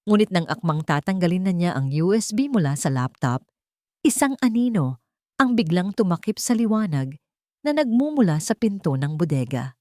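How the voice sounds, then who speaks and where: Expressive low-pitched woman storyteller. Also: dry recording, clean studio sound. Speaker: storyteller, narrator. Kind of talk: scripted dramatic narration of a romance suspense story.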